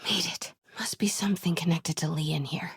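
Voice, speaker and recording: A very breathy, slightly low-pitched young American woman as a plucky investigative reporter in a video game. She sounds natural and determined, with a wry edge, in a dry, close recording. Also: fairly even intonation, a little fast, no room sound, heavily acted. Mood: hushed, focused. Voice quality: breathy, often hushed.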